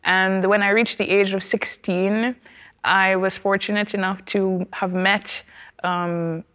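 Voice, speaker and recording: A woman from Trinidad and Tobago with a Trinidadian accent, a guest speaking thoughtfully in an interview and often pausing with fillers and restarts. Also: medium pitch, level tone, a bit bright. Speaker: opera singer, guest. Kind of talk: conversational interview.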